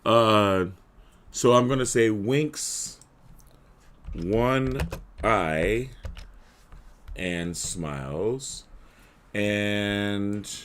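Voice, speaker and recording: A man with a hoarse voice talking casually through a live tutorial, clearing his throat often and filling with "uh". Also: fairly low pitch, drawn-out words, long pauses, a bit bright. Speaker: livestream host.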